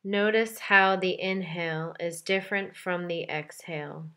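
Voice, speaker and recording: A woman leading a guided meditation in a calm, gentle, measured way with deliberate pauses between phrases. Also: fairly low pitch. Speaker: meditation guide, yoga teacher. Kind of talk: guided meditation, soft instructional delivery.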